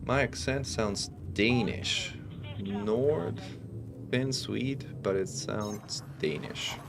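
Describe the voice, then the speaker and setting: A man's voice: lowish voice, extremely slow, gravelly. A man from Italy with a faint Italian accent, chatting casually while streaming a space game and reading viewers' messages. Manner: relaxed, chatty, reads chat aloud. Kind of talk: casual gameplay stream chat. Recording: steady background noise.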